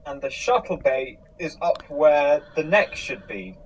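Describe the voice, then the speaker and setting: A man in a casual discussion, explaining things haltingly and rephrasing as he goes. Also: on the high side, sing-song, low-quality recording. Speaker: podcast host. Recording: noticeable background noise.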